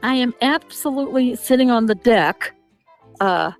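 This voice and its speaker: High-voiced older woman, comically grumbling. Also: lively intonation, moderate pace, soft background music. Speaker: regular call-in guest, the host's mother. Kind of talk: casual phone chat. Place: outdoors on a deck, on a cell phone. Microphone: cell phone call.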